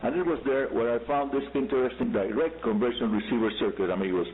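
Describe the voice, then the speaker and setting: A man with a Cuban accent and Spanish as his first language, hosting a scripted radio show and addressing listeners directly and deliberately. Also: medium pitch. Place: radio broadcast picked up on shortwave. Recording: hissy, humming narrow-band shortwave radio reception.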